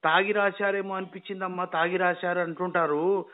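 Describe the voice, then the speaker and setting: High-pitched male caller, muffled phone line. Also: little pitch movement. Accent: Telugu, Telangana. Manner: halting, repetitive, insistent. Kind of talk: questioning in casual phone conversation.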